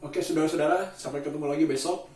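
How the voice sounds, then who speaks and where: A man from Indonesia, chatting casually to camera as a solo vlogger in a halting, deliberate way with drawn-out pauses. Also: fairly high pitch, steady tone, music in the background. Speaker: daily vlogger and host. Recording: roomy echo, distant microphone.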